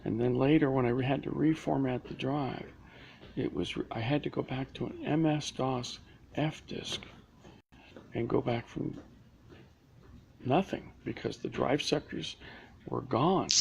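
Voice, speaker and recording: A man's voice: mid-range voice, measured pace, creaky, pauses often, a little mellow, a little hiss or hum. A man rambling through a live stream from inside a public library, full of restarts and asides. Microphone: phone or laptop camera.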